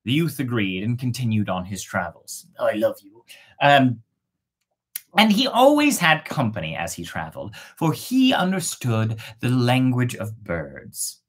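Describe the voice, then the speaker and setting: A woman's voice: rumbling low, huge pitch swings, unhurried, slightly rough. A woman narrating a fairy tale as host, playful and breaking off for casual asides. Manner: playful, self-interrupting. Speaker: storyteller and host.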